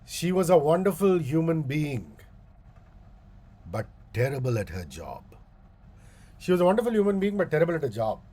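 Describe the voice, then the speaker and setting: A man with a booming voice and an Indian English accent, giving a public speaking workshop as a guest speaker. He talks slowly and deliberately, with long pauses and repeated demonstrations. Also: very animated, dark tone.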